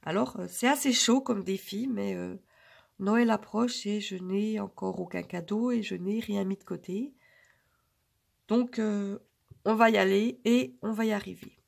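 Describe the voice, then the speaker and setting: A woman talks to her viewers in a loose, rambling way, with lots of pauses and fillers. Her voice is hoarse from a cold and heavily gravelly with vocal fry throughout. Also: mid-range voice. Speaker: budgeting vlogger. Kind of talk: casual chat to viewers.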